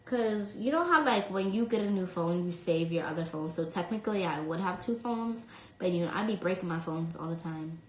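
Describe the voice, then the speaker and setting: A woman's voice: lowish voice, animated, brisk, echoey room, rough recording. A young woman from New York with a light New York accent, chatting casually while answering fan questions on a phone livestream, full of likes and ums. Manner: chatty, lots of "like" and "um", reads comments aloud. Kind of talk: casual live Q&A. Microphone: phone at arm's length.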